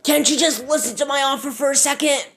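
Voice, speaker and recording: Woman playing a high, creaky-voiced impatient president. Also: a little fast, a bit bright. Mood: urgent, pleading. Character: impatient, pleading male American president.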